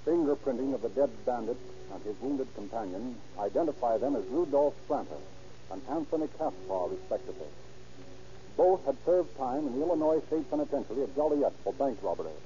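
A man's voice: mid-range voice, lively intonation, fairly quick, dark tone, slight background noise. A man giving formal, matter-of-fact scripted narration in an old radio crime drama. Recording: dull old radio recording with music.

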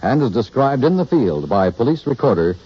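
Husky, animated American radio announcer man. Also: mid-range voice, a little fast, no echo. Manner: formal, dramatic. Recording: muffled vintage radio recording.

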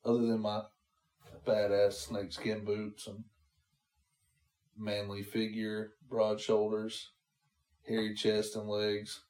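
A man's voice: lowish voice, monotone, takes it slowly, pauses often, a little mellow. A man with a nasal voice co-hosting a podcast, chatting casually and joking at his own expense. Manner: laid-back, self-mocking joking. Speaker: podcast co-host. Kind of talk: casual chat.

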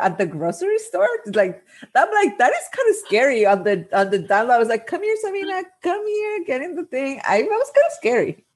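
A woman's voice: a little high, slightly rough. A woman in her thirties co-hosting a podcast, chatting casually and telling stories with lots of "like", slipping into Spanish now and then. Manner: animated storytelling with many "like"s, mixing in Spanish. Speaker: podcast co-host. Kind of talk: casual chat.

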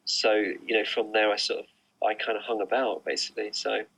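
Man, middle-aged, low pitch, monotone, crisp bright tone, hesitant, rambling, online interview guest. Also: brisk, low-quality recording. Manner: hesitant, rambling, many fillers and restarts. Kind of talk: casual interview answers. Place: remote online recording.

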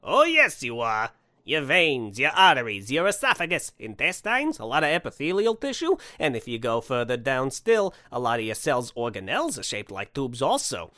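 A man's voice: medium pitch, huge pitch swings, normal speed, pushed and bright, no echo, studio quality. A man voicing a jolly, eccentric Santa for a story, folksy, brash and cajoling. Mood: gleeful. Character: jolly eccentric Santa, brash, pushy, tube-obsessed wish granter.

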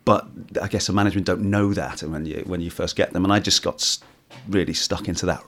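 A man's voice: low-pitched, fairly even intonation, quick pace, a bit bright. A man in a casual interview, talking about his stage career in a rambling way with many restarts. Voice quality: hoarse, husky when tired. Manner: rambling, restarts often, self-deprecating. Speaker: musical theatre actor, interview guest.

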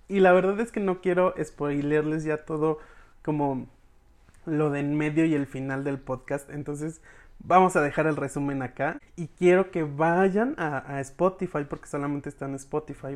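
The speaker has a Mexican accent and a medium-pitched voice. They retell a story informally, as if to a group of friends. The delivery is slangy and expressive, with dialogue casually acted out along the way. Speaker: podcast reviewer recapping episodes for followers. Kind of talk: informal storytelling recap, addressing the audience as friends.

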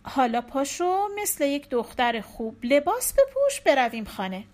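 A woman voicing a calm husband who breaks bad news gently, in a soft, measured way. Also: high voice.

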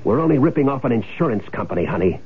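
Over a tinny old radio recording, a scheming, domineering husband in radio drama style. His medium-pitched voice is impatient and insistent, bossing and persuading. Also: very animated, rapid-fire, slightly rough. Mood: bossy, scheming. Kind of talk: 1970s radio drama acting. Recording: tinny old radio broadcast with faint noise.

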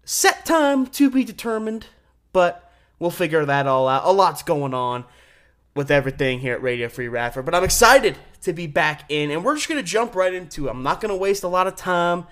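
Young man with a higher-pitched voice, hosting sports talk. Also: expressive, moderate pace, fairly bright tone. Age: 20s. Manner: emphatic, informal, slangy delivery. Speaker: sportscast host on campus radio.

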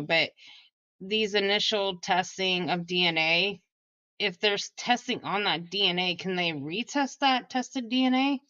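A woman's voice: medium pitch, a bit bright, no echo, dull audio. A woman co-hosting a true crime podcast, chatting casually and inquisitively in informal, broken bursts. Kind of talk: casual chat.

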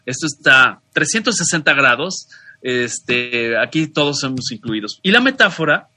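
Bright, dry-voiced Mexican man hosting. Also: mid-range voice, big pitch swings, moderate pace, no echo. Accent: Mexican Spanish. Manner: discursive, with frequent restarts and asides. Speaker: podcast co-host. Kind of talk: casual chat introducing a guest.

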